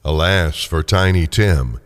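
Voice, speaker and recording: A man's voice: sits low, slow. A man narrating a classic story dramatically as scripted radio theater. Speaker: narrator and performer.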